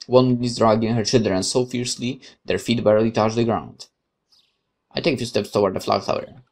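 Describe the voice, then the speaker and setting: A woman's voice: extremely low pitch, fairly even intonation, brisk, a little mellow. A woman reading a game's story aloud and adding casual commentary as she plays. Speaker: game player narrating a playthrough.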